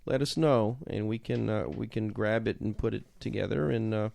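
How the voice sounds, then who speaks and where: A man's voice: fairly low pitch, moderate pace, vocal fry, a little mellow, dry recording. A man co-hosting a podcast in casual chat, often repeating words as he finds his way through a sentence. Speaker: podcast co-host.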